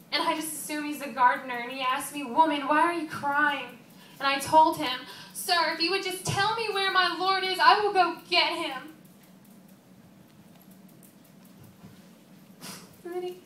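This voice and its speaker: A woman performs as a devoted female disciple in mourning, telling her story as an emotional stage monologue. Her voice is high and bright, earnest and confiding. It is picked up at a distance in a roomy, echoing space. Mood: awed, emotional. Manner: earnest, emotional, confiding, with pauses and rhetorical questions. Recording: roomy, distant mic, faint background noise.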